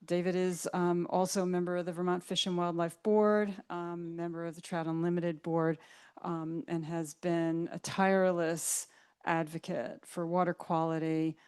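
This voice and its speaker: A woman's voice: on the low side, level tone, moderate pace, darkish tone, clean tone. A woman moderator introducing the next speaker at a seminar, hesitant, with frequent ums. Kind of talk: event introduction.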